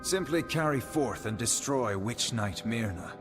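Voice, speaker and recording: A man's voice: medium pitch, expressive, a little slow, natural voice. An actor playing a haughty aristocratic fae spokesman in a fantasy video game, formal, flowery and condescending. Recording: reverberant hall under loud music.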